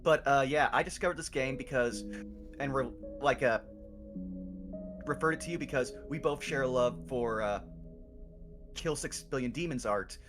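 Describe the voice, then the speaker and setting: A man's voice: mid-range voice, normal speed, pauses often. A man joining a friend's stream as a guest, chatting casually in a laid-back way with lots of fillers. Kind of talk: casual chat. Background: music.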